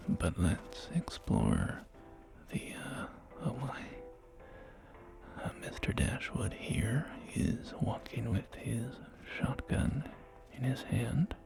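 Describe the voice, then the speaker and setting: A man's voice: mid-range voice, sing-song, slow pace, creaky. A man performing a whispered ASMR roleplay as a courteous real estate agent, speaking in polite, hesitant sales patter, with music behind him. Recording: whisper under loud background music. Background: music and game audio.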